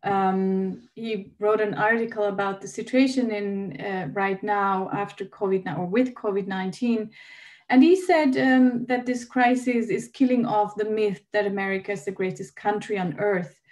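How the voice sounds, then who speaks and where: A woman's voice: mid-range voice, steady tone, moderate pace, darkish tone. A woman from Austria interviewing a guest, speaking hesitantly with many fillers. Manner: hesitant, many ums and uhs. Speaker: interviewer, host. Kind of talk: conversational interview.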